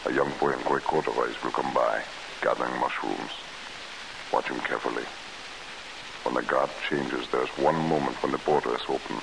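A calm, secretive underground man with a very deep, gravelly voice. He speaks deliberately in 1940s radio drama style, through a hissy old recording. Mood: hushed, deliberate. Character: calm, secretive underground agent; also a sober consul.

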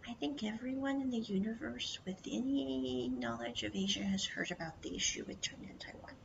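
A woman from the United States telling a rambling travel story, mostly in a hushed whisper, chatty and full of "I was like". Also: a little high, fairly quick. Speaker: vlogger. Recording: dull lo-fi audio with clear background noise.

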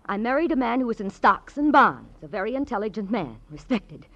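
A woman's voice: on the high side, big pitch swings. An actress playing a desperate, pleading middle-aged society woman who drinks, in an old-time radio drama. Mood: proud, defensive. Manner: pleading, emotional, haltingly repeating herself. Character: desperate middle-aged socialite drunk with a past. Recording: muffled vintage radio recording.

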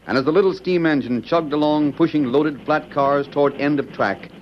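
A man's voice: a little high, moderate pace, a little hiss or hum. A man with an American accent narrating dramatically in 1940s radio announcer style. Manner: dramatic, declamatory. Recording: muffled old radio, music behind.

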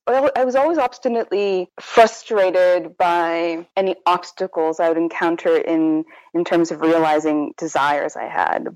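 A woman's voice: lowish voice, a little mellow, no room sound, rough recording. A woman author speaking in an interview, thoughtful and self-correcting, often restarting her sentences. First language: Korean. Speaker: author guest. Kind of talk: casual academic interview.

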